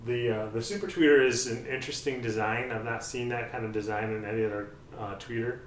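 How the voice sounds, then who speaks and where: A man's voice: mid-range voice, steady tone, brisk, a little hiss or hum. A middle-aged man casually reviewing audio gear, thinking aloud with many ums and uhs, seated in a small room with speakers. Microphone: far camera on a tripod. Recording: roomy sound from a distant mic.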